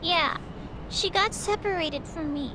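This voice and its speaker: A woman's voice: very high voice, a little slow, background noise. A woman playing a sweet young girl in a video game cutscene. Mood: earnest. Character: sweet young girl searching for her lost cat.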